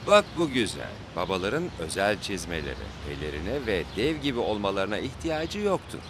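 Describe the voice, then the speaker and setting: A man playing a gentle, patient father in a children's cartoon, explaining things reassuringly. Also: fairly low pitch, big pitch swings, moderate pace, noisy background. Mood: reassuring, earnest. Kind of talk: animated children's cartoon dialogue. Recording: music bed under the voice.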